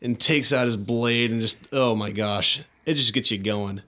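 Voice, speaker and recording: A man's voice: medium pitch, normal speed, bright, forceful tone. A man co-hosting a podcast, describing video game scenes in an earnest, enthusiastic, conversational way. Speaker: podcast co-host.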